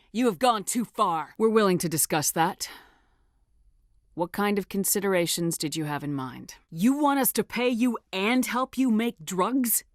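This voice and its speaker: A woman's voice: medium pitch, lively intonation, a little slow, no echo, clean studio sound, natural voice. A woman voicing a tough, commanding military commander in a video game, speaking in a firm, clipped, authoritative way. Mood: threatening, scornful. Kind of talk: video game dialogue acting.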